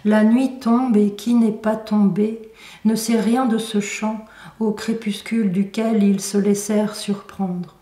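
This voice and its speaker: A woman recites poetry at a slow, deliberate pace, pausing between short phrases. Her tone is mellow and dark. The room echoes around her voice. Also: mid-range voice, steady tone. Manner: measured, deliberate phrasing with pauses. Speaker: poet reading own work. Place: indoor room at a poetry venue. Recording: roomy echo, recorded from a distance.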